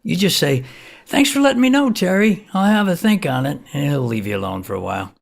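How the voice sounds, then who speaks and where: An actor playing a rambling, folksy older man who uses drugs, telling his comic story in a hesitant, husky, gravelly voice. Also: on the high side, expressive, a little fast, full character voice. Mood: chatty, confiding. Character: rambling, shabby older neighbour man, a folksy drug user. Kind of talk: comic stage monologue.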